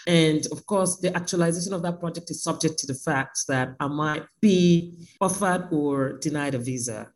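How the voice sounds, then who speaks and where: A woman artist speaking by phone in an interview, reflective and sometimes joking, with lively emphasis. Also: low voice, moderate pace, few pauses. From Nigeria.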